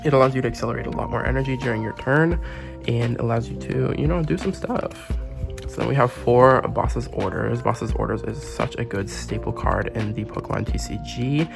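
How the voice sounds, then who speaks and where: Sick, gravelly-voiced man with a sore throat. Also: mid-range voice, moderate pace. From Canada. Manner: chatty, gushing over cute packaging. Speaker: trading card game YouTuber. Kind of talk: casual unboxing and deck review. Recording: music playing underneath.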